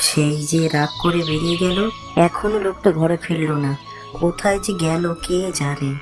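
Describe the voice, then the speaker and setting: A woman's voice: low-pitched, normal speed, natural voice. A woman playing a practical, nagging village wife in a cartoon, fussy and exclaiming. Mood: worried. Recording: music behind the voice.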